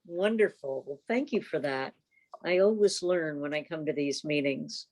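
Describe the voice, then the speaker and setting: A woman chairing an informal club meeting on a video call, talking and reading reports in a halting, conversational way. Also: low-pitched, a little mellow.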